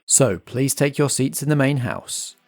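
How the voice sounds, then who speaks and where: Gravelly, animated man introducing. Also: mid-range voice, unhurried. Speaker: host and compere. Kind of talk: scripted podcast introduction.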